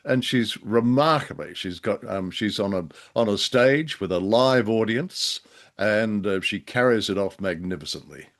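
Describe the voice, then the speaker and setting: Male podcast co-host, low voice, casual discussion, measured and hesitant. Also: expressive.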